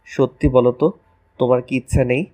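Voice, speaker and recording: A man's voice: medium pitch, flat delivery, fairly quick, mellow, lightly acted, rough recording. A man playing a playful, romantic young husband in an audio love story, teasing and tender.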